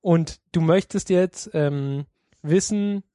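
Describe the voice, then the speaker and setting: Man, casual tech podcast chat, slangy, swears, fairly high voice, dry sound. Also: takes it slowly, mellow, no echo. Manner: loose, slangy, swears, laughs easily. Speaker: podcast co-host.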